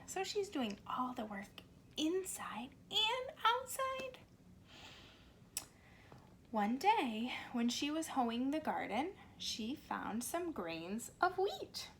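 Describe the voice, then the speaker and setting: Slow, animated woman reading to children. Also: high-pitched. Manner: expressive, simple explanations for young children. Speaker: speech pathologist reading a children's story. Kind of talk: children's storybook read-aloud. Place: cozy corner at home. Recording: faint background noise, home recording.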